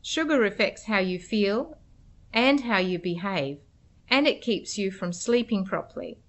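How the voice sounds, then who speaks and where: A woman's voice: medium pitch, animated, unhurried, a bit bright. A woman reading scripted educational narration for preschoolers in simple, instructive phrases. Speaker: narrator of children's educational material.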